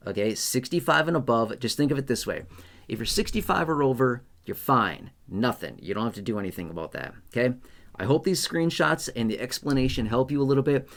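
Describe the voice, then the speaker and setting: A man hosting an explainer video, talking casually and reassuringly straight to his viewers. He repeats key points and checks in often with "okay?". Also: medium pitch. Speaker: YouTube explainer host.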